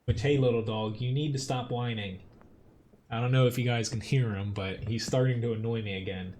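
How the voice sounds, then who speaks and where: A man's voice: medium pitch, fairly even intonation, a little fast, darkish tone, a little hiss or hum. A man with a Philadelphia accent giving casual live gameplay commentary as a streamer, informal and mildly exasperated in his grumbling. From Philadelphia area, United States. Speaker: game streamer.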